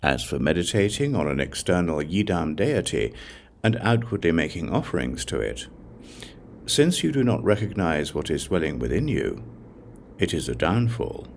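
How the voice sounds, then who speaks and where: A man with a low voice and a gravelly edge narrates a scriptural audiobook. His delivery is solemn and deliberate, set out in measured phrases, with wide swings of intonation from one phrase to the next. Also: big pitch swings, a little hiss or hum.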